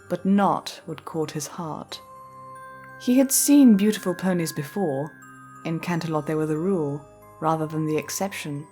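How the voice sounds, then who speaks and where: A woman reading a scripted, dramatic story as narrator, with measured and deliberate phrasing. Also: fairly low pitch. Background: soft music.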